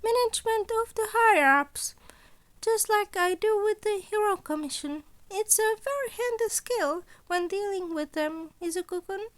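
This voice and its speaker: Woman voicing a high-pitched, sly little principal creature. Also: lively intonation, normal speed. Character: amused, scheming principal creature, feigning innocence, polite mentor. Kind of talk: fan fiction character voice.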